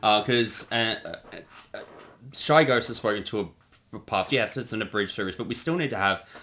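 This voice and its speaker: A man's voice: fairly low pitch, lively intonation, normal speed, pushed and bright. A man co-hosting a casual commentary on a parody series he directed, rambling with lots of fillers and restarts. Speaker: co-host of a creator commentary.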